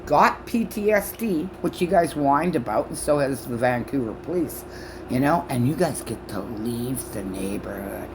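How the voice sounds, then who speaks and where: A woman in her sixties from Canada ranting loudly and profanely to camera on her own channel, her speech halting from false teeth. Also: rumbling low, animated, noisy background. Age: 60s. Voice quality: loud voice. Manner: shouting, profane, accusatory. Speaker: vlogger. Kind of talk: angry rant to camera.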